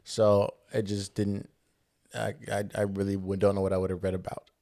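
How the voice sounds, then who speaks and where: A man's voice: on the low side, completely flat delivery, normal speed, mellow. A man in his early twenties co-hosting a podcast, chatting casually in informal, slangy language. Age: early 20s. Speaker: podcast co-host. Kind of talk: casual chat.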